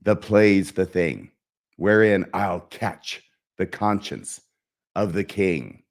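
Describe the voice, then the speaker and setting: A man performing a self-loathing, melancholy young prince bent on revenge, in a declamatory, brooding theatrical soliloquy with dramatic pauses. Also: deep voice, expressive, gravelly, exaggerated voice. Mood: scheming, brooding. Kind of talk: theatrical Shakespearean stage soliloquy.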